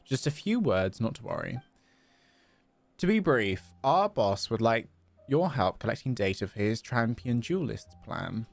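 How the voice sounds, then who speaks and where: A man puts on a grandly courteous game shopkeeper who teases in ornate, theatrical phrases. The pitch swings about and the voice has a gravelly edge, over faint background music. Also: very animated, measured pace.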